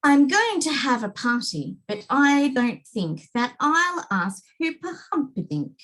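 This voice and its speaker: A woman's voice: sits high, big pitch swings, slow pace, fairly bright tone, voice pushed up, heavily acted, rough recording. A woman voicing an eager little boy reeling off the kids he will invite, in a rhyming children's book. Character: little boy planning a party.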